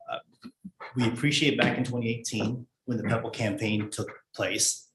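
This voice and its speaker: A man speaking as a school district finance official at a public meeting, in a measured, formal administrative way with hesitant pauses. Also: fairly low pitch. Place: indoor board meeting room. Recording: echoey meeting room with background noise.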